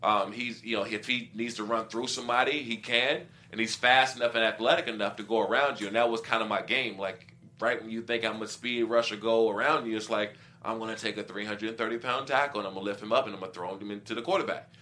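A middle-aged man with a bright, forceful tone talks casually about football as a guest. He explains his views with examples and acts out quoted remarks, like a former player recalling his career. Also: on the low side, fairly even intonation, fast. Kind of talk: casual sports talk.

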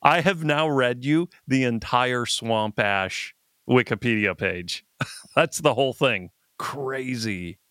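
A man's voice: mid-range voice, takes it slowly, a bit bright, clear, resonant tone, dry recording, clean studio sound. A man co-hosting a casual chat in an informal, wry and irreverent way. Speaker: podcast co-host.

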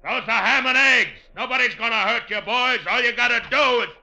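A man acting an unhurried, folksy, drawling American sheriff in an old-time radio western. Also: extremely high pitch, bright, forceful tone, heavily acted. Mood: calling out. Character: unhurried Old West sheriff coaxing trapped outlaws.

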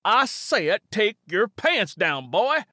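A man's voice: high voice, very animated, unhurried, sharp tone, dry recording, clean studio sound, pitched above the performer's own voice, full character voice. Man playing a growling young bully. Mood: menacing. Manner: growling, threatening.

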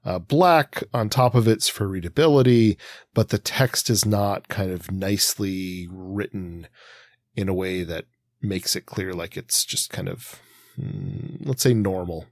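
Low-voiced man, dry close podcast sound. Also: a little slow, no echo. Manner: wordy, hesitant, thinks aloud in long winding sentences. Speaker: podcast host. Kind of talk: casual analytical discussion of comics.

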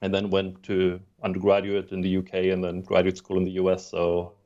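A man's voice: low-pitched, completely flat delivery, a little fast, warm tone, highs cut off. A man from Sweden, raised in Germany, speaking English as a second language with a mixed European accent; a historian talking as a podcast guest, hesitant, with many ums and restarts. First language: not English. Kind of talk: podcast interview.

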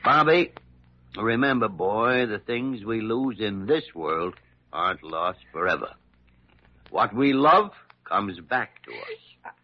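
An old American doctor, gruff, grave and kindly. His low voice rises and falls widely, with halting, emphatic phrases and repeated words. The sound is a dull vintage radio drama recording. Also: lively intonation. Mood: solemn, gentle. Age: older. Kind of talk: 1940s-50s radio drama acting.

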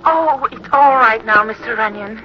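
An actress playing a meek, fretful wife in an old-time radio drama, polite and hesitant. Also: female voice, fairly high pitch, normal speed, natural voice. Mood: nervously relieved. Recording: muffled old radio recording with music.

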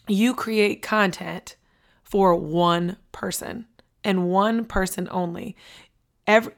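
A woman hosts a motivational podcast, hoarse from losing her voice, energetic and preachy, repeating key lines for emphasis. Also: mid-range voice. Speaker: podcast host.

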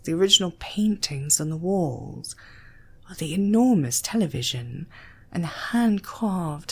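Slow, expressive British woman narrator. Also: on the low side, slightly rough. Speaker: audiobook narrator. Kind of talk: expressive scripted story narration.

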